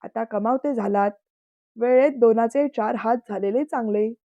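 A woman's voice: medium pitch, fairly even intonation, slow, dark tone, no echo, close to an everyday voice. Woman playing strict elderly uncle, curt and commanding, dry dull audio. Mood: curt stern. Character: stern traditional older uncle, head of house.

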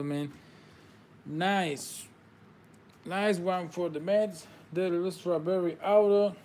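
A man's voice: high voice, darkish tone, slight background noise. Upbeat man calling out trading cards. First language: Spanish. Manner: upbeat, congratulating viewers, non-native phrasing. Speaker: card break host. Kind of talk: live card-breaking commentary. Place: indoor table with card boxes.

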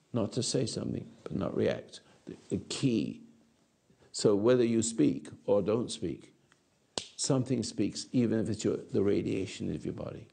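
A man in his eighties giving a rambling philosophical talk to an audience on a lapel mic, halting and repeating his words. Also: fairly low pitch, vocal fry, dark tone, breathy edge. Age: 80s. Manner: gestures with hands while talking. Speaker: speaker answering audience. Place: dark stage or studio.

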